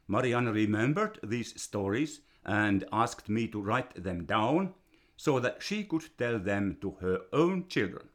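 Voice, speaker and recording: Slow older Finnish man telling a fairy tale. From Finland. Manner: slow and deliberate, with a lively, storybook pitch. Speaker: storyteller reading his own children's book.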